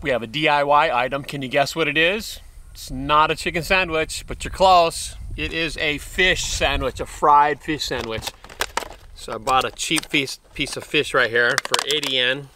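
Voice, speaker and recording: A man's voice: medium pitch, pushed and bright, slight background noise. A man in his fifties, chatting casually to the camera while eating snacks outdoors and reacting with lots of mm sounds and exclamations. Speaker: food vlogger. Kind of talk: casual food review to camera. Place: outdoors in a wooded park, seated at a bench.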